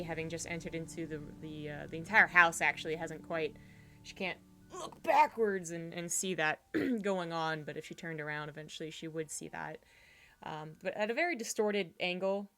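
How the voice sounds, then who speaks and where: A woman's voice: lowish voice, fairly bright tone. A woman narrating a tabletop horror game as game master, rambling with fillers and restarts.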